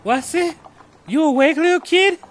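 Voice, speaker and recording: A man's voice: very high-pitched, moderate pace, soft background music. A man playing a jokey old groundskeeper who talks in a folksy, slangy way in a comedy audio drama. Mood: friendly. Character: jokey old male groundskeeper, a self-described big old fart.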